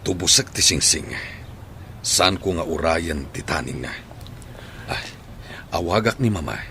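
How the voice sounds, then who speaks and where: Man acting deep-voiced troubled husband in radio drama. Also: animated, unhurried, sharp tone, a little hiss or hum, full character voice. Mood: suspicious, brooding. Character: troubled husband, an adult son confiding in his mother. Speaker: voice actor in an audio drama.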